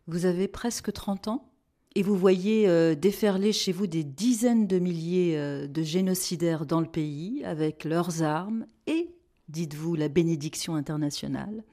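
A woman hosting a radio interview, guiding her guest with composed questions and small pauses. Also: on the low side, warm tone. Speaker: radio host.

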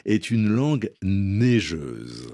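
Deep, mellow-voiced male radio host. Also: big pitch swings, drawn-out words. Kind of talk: cultural radio interview.

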